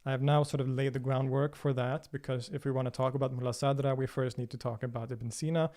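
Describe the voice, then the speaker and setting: A man's voice: mid-range voice, fairly even intonation, fast talker, dark tone. A man from Sweden whose first language is Swedish, hosting a livestream and answering viewer questions thoughtfully, with frequent fillers and restarts. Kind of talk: casual livestream Q&A.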